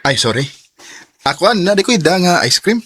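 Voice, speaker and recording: A man's voice: high-pitched, big pitch swings, a little fast, a bit bright, breathy edge, dry recording, heavily acted. A man acting a polite, hesitant, sweet-talking young office suitor in a radio drama, with a Cebuano accent. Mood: shy, polite. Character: eager, shy young male suitor at the office.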